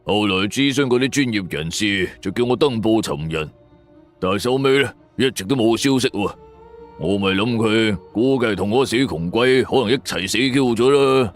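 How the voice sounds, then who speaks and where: Male narrator as sneering, moneyed Cantonese man, Hong Kong accent, low voice, callous and resentful, audio drama over music. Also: moderate pace, natural voice. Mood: scornful, bitter. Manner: haughty, sneering. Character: scornful, resentful wealthy man, callous about his sister. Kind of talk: audio drama character dialogue. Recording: background music under voice.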